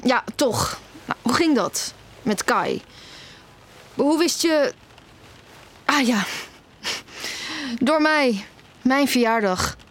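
A woman's voice: high-pitched, animated, drawn-out words, long pauses, exaggerated voice. Blunt, shaken young female student. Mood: shocked, blunt. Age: young adult. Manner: blunt, direct, questioning. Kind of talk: naturalistic audio drama dialogue.

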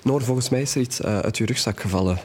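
An actor playing a gentle, hesitant young student friend, somewhat frail, in an audio drama. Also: male voice, mid-range voice, fast talker, a little hiss or hum, lightly acted. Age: young adult.

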